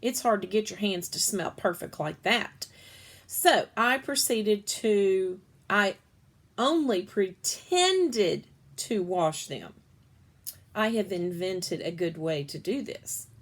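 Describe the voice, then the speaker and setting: A woman's voice: medium pitch, expressive, takes it slowly. Woman reading a children's book aloud. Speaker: read-aloud storyteller.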